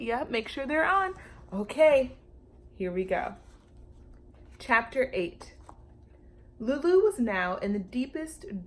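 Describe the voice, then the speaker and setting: A woman reading a children's storybook aloud as a storytime teacher, expressive and speaking directly to young listeners. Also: fairly high pitch.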